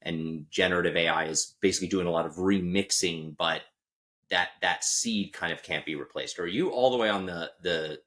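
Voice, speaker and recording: A man's voice: low voice, moderate pace, fairly bright tone. A man hosting a podcast who chats casually and thinks aloud while he poses long, open questions to his guest. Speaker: podcast host. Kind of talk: casual interview chat.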